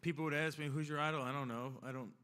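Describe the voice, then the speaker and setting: A man around forty speaks into a podium microphone at a ceremony hall. His voice is bright and forward. He rambles through his acceptance speech, joking one moment and heartfelt the next. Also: fairly high pitch, fairly even intonation, quick pace, clean tone. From Long Island, New York. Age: 40s. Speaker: hall of fame inductee.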